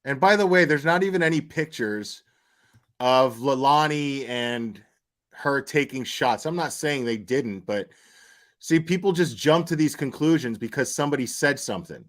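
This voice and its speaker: A man's voice: medium pitch, expressive, normal speed, a bit bright. A man giving unscripted, opinionated livestream commentary as a host, blunt, argumentative and coarse-mouthed, repeating phrases for emphasis.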